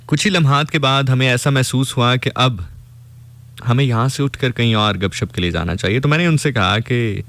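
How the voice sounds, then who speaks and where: A man's voice: mid-range voice, moderate pace, a bit bright. A man with a Pakistani accent, a radio host telling a story conversationally and reflectively, dropping in English phrases.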